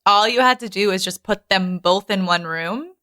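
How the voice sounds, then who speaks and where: A woman's voice: mid-range voice, moderate pace, bright, forceful tone, no echo, clean studio sound. A woman hosting a podcast, chatting casually about film in an informal, emphatic way, often repeating words for emphasis. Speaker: podcast host. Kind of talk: casual film discussion chat.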